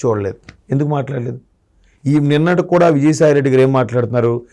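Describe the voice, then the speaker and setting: A man's voice: mid-range voice, quick pace, warm tone. Man arguing politics. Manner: argumentative, asks rhetorical questions. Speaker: political commentator. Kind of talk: political discussion.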